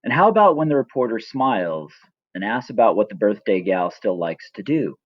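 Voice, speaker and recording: Low-voiced man reading, muffled recording. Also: big pitch swings, brisk, dry recording. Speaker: writer reading own story. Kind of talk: scripted personal narration, storytelling.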